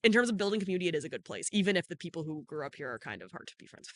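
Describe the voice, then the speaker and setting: A man from Canada co-hosting a casual podcast chat, talking in rapid, chatty runs filled with "like". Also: a little high, very animated, a bit bright, no echo. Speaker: podcast co-host.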